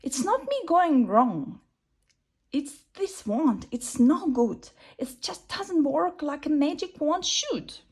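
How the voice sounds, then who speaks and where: A woman's voice: sits high, slow pace, voice pushed up, full character voice. A woman doing a hot-tempered little witch. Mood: angry. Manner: excited, huffy exclaiming.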